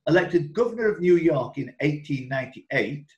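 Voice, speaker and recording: A man's voice: fairly high pitch, lively intonation, moderate pace, muffled recording. A man hosting an online quiz, reading out questions in a chatty, informal way and breaking off into asides. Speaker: quiz host and question reader. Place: indoor room, online video call. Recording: roomy echo.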